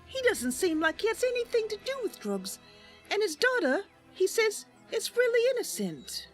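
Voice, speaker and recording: A woman's voice: very high-pitched, huge pitch swings, normal speed, faint music behind, voice pushed up, exaggerated voice. A woman voicing an earnest, chatty young female wood elf knight in a fantasy game roleplay, with a cheerful, artless manner.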